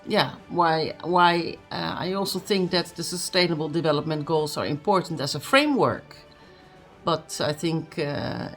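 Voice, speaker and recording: A speaker giving an earnest motivational message, with many fillers and restarts. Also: mid-range voice. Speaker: speaker at a book launch.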